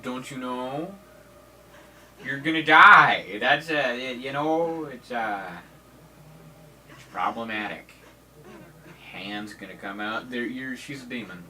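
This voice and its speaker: A man's voice: medium pitch, animated, takes it slowly, pauses often, pushed and bright, distant mic, slight background noise. A man giving jokey, rambling let's-play commentary on a game, with mock-dramatic outbursts. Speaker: gaming video host.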